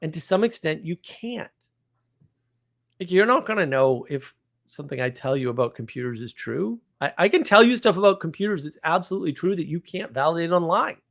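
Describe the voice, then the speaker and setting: A man's voice: on the high side, expressive, fairly quick, vocal fry, a bit bright. A man talking casually and off the cuff as a live stream host, with a blunt, opinionated, rambling manner. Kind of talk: casual unscripted monologue.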